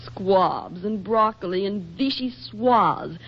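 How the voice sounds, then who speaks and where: A woman's voice: mid-range voice, takes it slowly, breathy edge. A woman acting in a 1940s radio comedy as a flustered, helpless society hostess, fluttery and melodramatic. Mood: flustered complaining. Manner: fluttery, exaggerated, dramatic. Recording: dull vintage 1940s radio recording.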